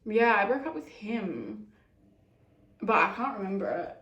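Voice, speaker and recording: A woman's voice: medium pitch, lively intonation, measured pace, roomy sound, lo-fi sound. A young woman chatting casually in a Q&A, giggly and full of "like" fillers. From Australia. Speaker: YouTuber answering fan questions. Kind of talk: casual Q&A chat.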